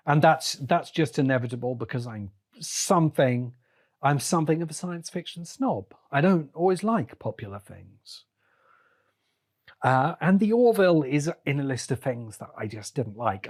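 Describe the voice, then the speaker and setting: Male podcast host, mid-pitched voice, opinionated commentary, halting deliberate phrasing, short emphatic bursts. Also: darkish tone. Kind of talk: opinionated solo podcast commentary on books and shows.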